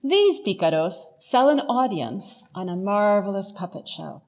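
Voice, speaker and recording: A woman's voice: medium pitch, very animated, unhurried. A woman presenting a scripted literary lecture as narration.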